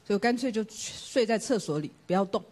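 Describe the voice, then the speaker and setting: Woman preacher, fast, husky edge, earnest testimony. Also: medium pitch, lively intonation, darkish tone. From Taiwan. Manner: earnest, emphatic, with rhetorical questions. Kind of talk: sermon with personal testimony.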